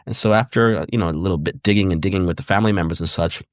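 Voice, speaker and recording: A man's voice: sits low, racing, dry recording, studio quality. A middle-aged man from Puerto Rico, a historian answering interview questions thoughtfully and often adding "right?" and "again". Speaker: guest historian.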